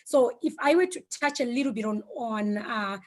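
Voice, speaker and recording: A woman, a Shona speaker, giving an informal online presentation in a reflective, hesitant way, often pausing mid-thought. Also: fairly high pitch, animated. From Zimbabwe. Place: online video call.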